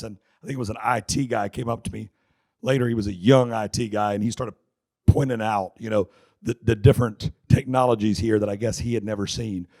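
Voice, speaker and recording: A middle-aged man from North Carolina, giving a joking, chatty seminar talk with a raspy voice hoarse from laryngitis. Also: fairly low pitch, darkish tone. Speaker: seminar speaker. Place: conference hall. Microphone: handheld mic.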